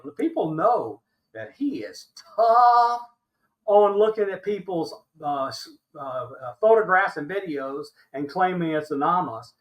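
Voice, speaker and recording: Interview guest: American man around seventy, forceful high voice, stresses points by repeating them. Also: poor audio. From Alabama, United States. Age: older, about 70. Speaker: interview guest recounting his experiences. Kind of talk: animated conversational argument.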